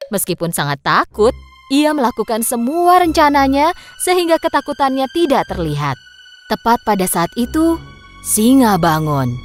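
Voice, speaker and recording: High, lively woman storyteller. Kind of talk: scripted children's story narration. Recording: music behind the voice.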